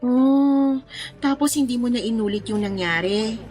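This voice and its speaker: A woman's voice: on the high side, unhurried, lightly acted. A woman playing a chatty, curious young Filipina friend in a radio drama, teasing and full of exclamations. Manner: animated, reacting with exclamations and questions. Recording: music playing under the voice.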